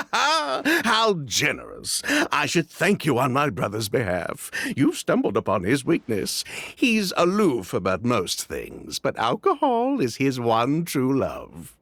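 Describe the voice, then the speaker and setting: A man's voice: medium pitch, huge pitch swings, takes it slowly, vocal fry, hardly any pauses, dry recording, full character voice. An actor playing a charming young male host in a video game, courteous and theatrical with a slightly slippery manner. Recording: soft background music.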